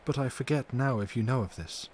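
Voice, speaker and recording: A man reads the part of a troubled young wife in a dramatic audiobook. She recounts earnestly and formally, at a measured pace, with tenderness and courage. There is light background noise. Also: medium pitch, a little mellow, close to an everyday voice. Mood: troubled recounting. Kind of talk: dramatic audiobook character reading.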